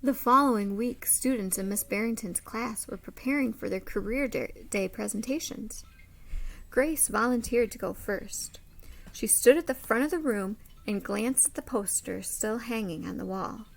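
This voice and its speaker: Higher-pitched woman reading aloud, creaky edges. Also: rough recording. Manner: expressive, dramatic pauses and emphasis for children. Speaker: teacher reading a picture book aloud to children. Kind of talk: animated storybook read-aloud with simple asides to young children.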